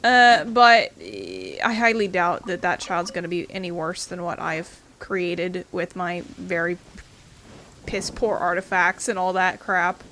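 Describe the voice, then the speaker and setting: A woman's voice: on the low side, expressive, a little slow, a bit bright, slight background noise. A woman giving casual playthrough commentary as a gaming host, in a wry, jokey, slangy way.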